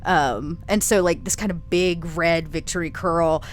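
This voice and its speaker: A woman's voice: on the low side, a little slow, a little hiss or hum. A woman playing a tabletop game, casually describing her character in a musing, piece-by-piece way.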